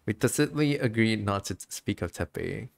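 Casual game streamer, creaky mid-pitched voice, chatty commentary mixed with read-aloud dialogue. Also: animated, normal speed.

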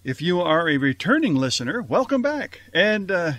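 A man's voice: sits high, very animated, moderate pace, a bit bright. A man hosting a radio theater show with a chatty, jokey, theatrical introduction.

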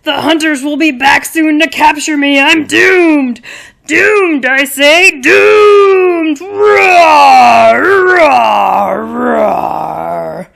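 A woman's voice: high voice, creaky, a bit bright, heavily acted. A woman voicing a proud, boastful male lion in a children's puppet show, with theatrical, roaring delivery. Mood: despairing, melodramatic. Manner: melodramatic, roaring and drawn-out.

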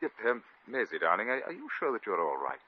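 An actor in a 1950s radio comedy playing a courtly, smitten English lord with a posh British accent and an effusive, slightly flustered manner. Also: male voice, on the low side, wildly swooping pitch, quick pace, slightly rough, dry recording, heavily acted. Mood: tender. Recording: dull old 1950 radio recording.

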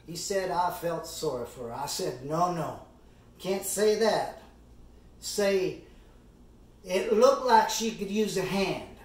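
A man performing a stage monologue as an earnest, impassioned middle-aged defence lawyer, speaking deliberately with dramatic pauses and emphatic oratory. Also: high voice, animated. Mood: recounting, intent. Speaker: amateur actor performing a monologue. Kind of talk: theatrical courtroom summation monologue. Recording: roomy echo, distant microphone.

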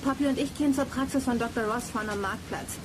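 A woman's voice: fairly high pitch, fast, lightly acted. A woman playing a sensible girl detective, echoey. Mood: decisive. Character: sensible, analytical girl detective, leader of gang. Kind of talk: German children's audio drama acting. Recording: echoey room, faint noise.

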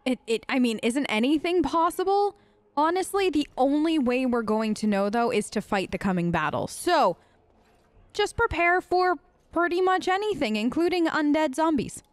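A woman with a high voice chats her way through a role-play gaming video. She is polite and rambles as she goes, and the sound is close and dry. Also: lively intonation, normal speed, no room sound. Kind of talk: improvised role-play chat over a video game.

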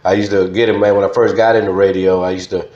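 A man's voice: low voice, level tone, fast talker, a little mellow. A man in his fifties with a Louisiana Southern accent, telling stories casually in an interview and often dropping "man" into his phrases. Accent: Southern American, Louisiana. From Louisiana, United States. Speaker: podcast guest, former radio DJ. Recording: slightly dull, echoey studio sound.

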